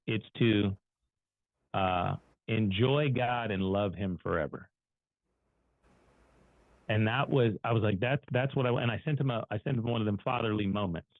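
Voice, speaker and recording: A man's voice: on the low side, moderate pace, pauses often, no echo. A man co-hosting an online show, telling personal stories in a casual, folksy, rambling and self-deprecating way. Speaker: co-host of an online finance show. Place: online video call. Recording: muffled phone-like call audio, dry.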